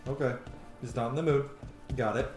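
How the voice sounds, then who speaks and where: A man doing casual let's play commentary on a video game, chatty and excitable, with plenty of swearing. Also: mid-range voice, a little fast. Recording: echoey room with game music behind.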